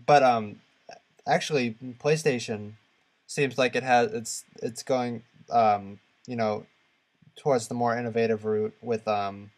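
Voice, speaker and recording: A man speaks as a podcast co-host in a loose, conversational way. His delivery is halting, and he often searches for the next word. His pitch sits in a middle male range. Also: fairly even intonation. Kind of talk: casual discussion of video games.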